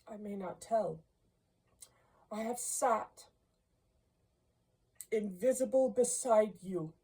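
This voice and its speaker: Woman voicing an anguished, slow, wailing male ghost. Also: mid-range voice, warm tone, husky, pitched above the performer's own voice, poor audio. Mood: solemn. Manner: slow, drawn-out, declamatory delivery. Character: anguished male ghost, solemn, mournful, warning. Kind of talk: dramatic storybook reading.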